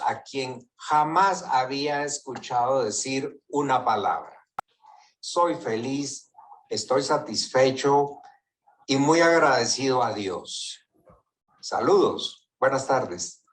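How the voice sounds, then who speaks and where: A mature man with a Guatemalan accent records a personal testimonial. He speaks slowly, phrase by phrase, as in a prepared message. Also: medium pitch, poor audio. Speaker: patient giving a testimonial.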